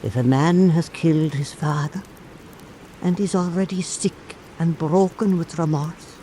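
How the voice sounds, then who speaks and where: An actor playing an earnest old islander who tells folk anecdotes in a measured radio drama cadence. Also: mid-range voice, warm tone, slight background noise, low-quality recording. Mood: solemn, pleading. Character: old island storyteller, earnest, compassionate toward wrongdoers.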